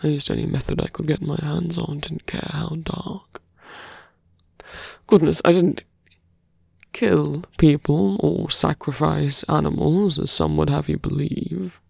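Guy doing a seductive fantasy villain for asmr, super gravelly n breathy, murmuring close up, kinda sardonic. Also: a little high, fairly even intonation, a little mellow, dry recording, heavily acted. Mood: confiding, bitter. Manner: intimate, slow, breathy murmuring. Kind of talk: ASMR roleplay voice acting. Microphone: close microphone, dry.

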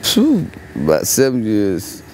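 A man's voice: on the low side, wildly swooping pitch, slow, a little mellow. Laid-back Texan man, late thirties. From Fort Worth, Texas. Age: late 30s. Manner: laid-back rambling, frequent "you know what I'm saying". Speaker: interviewee. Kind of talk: casual street interview. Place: outdoors at dusk in a residential yard. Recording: faint outdoor street noise.

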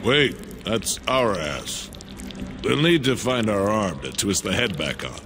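An actor playing the dry, skeptical voice in an antihero's head in a video game, commenting matter-of-factly. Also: male voice, lowish voice, very animated, takes it slowly, exaggerated voice. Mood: deadpan. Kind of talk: video game character acting. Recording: game music behind the voice.

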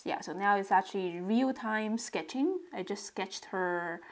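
A woman narrating a relaxed drawing tutorial step by step, describing what she does as she draws. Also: medium pitch, a little slow. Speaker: sketching tutorial host.

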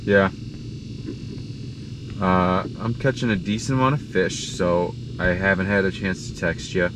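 A young man vlogging a day of ice fishing on a frozen lake, talking to himself and the fish in a low-key way. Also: fairly even intonation, unhurried. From Wisconsin. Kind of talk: casual running commentary while ice fishing. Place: frozen lake outdoors, then inside a small ice shanty. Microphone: action camera on the ice. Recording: distant camera mic, loud wind noise.

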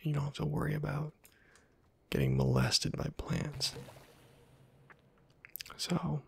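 A man giving casual, halting ASMR game commentary in a soft, breathy, whispery voice, murmuring as if to himself. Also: a little high, monotone, slight background noise. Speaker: gaming video host.

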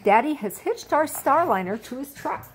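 Woman as a gentle storybook mother fox, lilting. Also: high voice, animated, moderate pace, voice pushed up. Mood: soothing.

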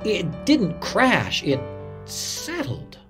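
A man's voice: high voice, huge pitch swings, drawn-out words, over music, exaggerated voice. A man playing an earnest radio reporter, dramatically recalling a disaster he witnessed, in a first-person story. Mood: somber. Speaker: narrator of a radio reporter's memories.

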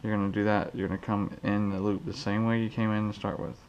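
A man's voice: fairly low pitch, flat delivery, fast talker, darkish tone, muffled recording, a little hiss or hum. A man hosting a fishing channel, casually demonstrating a knot in his garage while counting the steps aloud. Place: cluttered garage, indoors. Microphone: head-mounted action camera.

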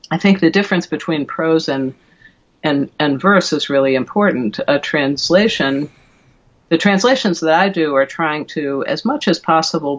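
Low-pitched woman on a dull call. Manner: halting, thoughtful explaining. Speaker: guest, verse translator and classicist. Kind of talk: conversational interview explanation. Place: indoor room at home, video call. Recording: dull, lo-fi video call audio.

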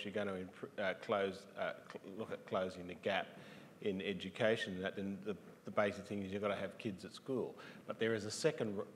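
A man answering a question on a conference panel, plain-spoken and halting with fillers. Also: lowish voice. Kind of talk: panel discussion. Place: conference stage in a large hall. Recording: long hall reverb, faint room noise.

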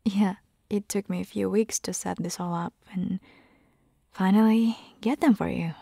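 A woman playing a caring, teasing vampire hunter girlfriend in an intimate ASMR roleplay, whispering breathily and coaxing gently. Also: medium pitch, steady tone, normal speed, gravelly, slightly rough, no room sound, studio quality. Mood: explaining, gentle.

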